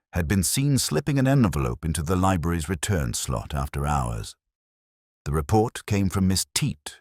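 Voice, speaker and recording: A man narrates a literary mystery with formal, measured delivery. His voice is extremely deep and husky, moving widely in pitch, in a dry recording. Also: a little mellow, no room sound. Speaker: audiobook narrator.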